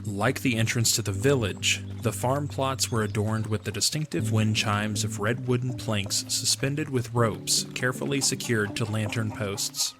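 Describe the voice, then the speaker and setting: Casting: male narrator for a fantasy audio drama, low voice, scripted reading, faint music behind. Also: steady tone, unhurried, hardly any pauses.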